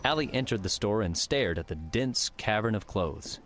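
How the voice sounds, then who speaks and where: A man's voice: on the low side, expressive, moderate pace, fairly bright tone, a little hiss or hum. A man reading scripted story narration as an audiobook narrator.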